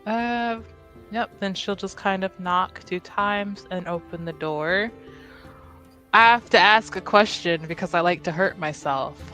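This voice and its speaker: A woman's voice: medium pitch, a little slow, bright, forceful tone, faint music behind. A woman narrating her character in a tabletop roleplaying game, hesitant and thinking aloud with many fillers. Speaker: player.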